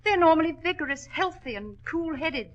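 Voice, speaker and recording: An actress playing an anxious, determined widow who owns a mine, earnest and formal in a 1940s radio drama. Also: female voice, very high voice, animated, moderate pace, highs cut off. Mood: haunted, grave.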